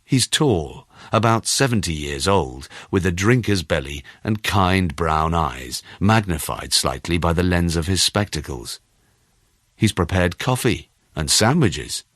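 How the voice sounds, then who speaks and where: A man narrating a first-person short story in a measured, expressive storytelling manner. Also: deep voice, no echo, clean studio sound. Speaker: audiobook narrator.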